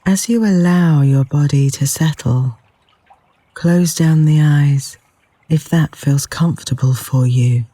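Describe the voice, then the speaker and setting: A man guides a yoga nidra meditation in a very soft, dark and relaxed voice, set slightly high for a male speaker. He speaks slowly and soothingly, leaving long pauses. The voice is close and dry, with flowing river water behind it. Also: no room sound. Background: flowing river water sounds.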